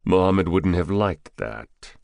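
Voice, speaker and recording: Man playing a deep-voiced, menacing armed drug buyer. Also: expressive, vocal fry, darkish tone, no echo, clean studio sound, exaggerated voice. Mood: cool, probing. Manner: curt, threatening, deliberate. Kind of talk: audiobook character dialogue.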